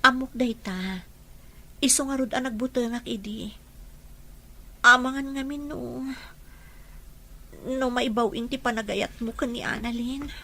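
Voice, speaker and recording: A woman's voice: fairly high pitch, measured pace, long pauses, pushed and bright, a little hiss or hum. A woman with an Ilocano accent playing an earnest, sentimental young woman in an audio drama. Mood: earnest, worried.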